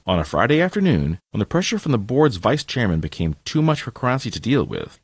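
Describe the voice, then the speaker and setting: Low-voiced, animated male narrator. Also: husky, no echo. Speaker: audiobook-style podcast reader. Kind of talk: scripted narration of true-crime nonfiction.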